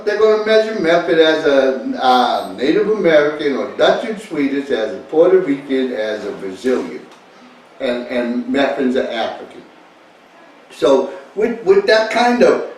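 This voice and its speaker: A man giving an informal, rambling talk to listeners, repeating phrases for emphasis with an easy chuckle. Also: on the high side. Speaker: speaker leading a talk. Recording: echoey, distant mic, faint noise.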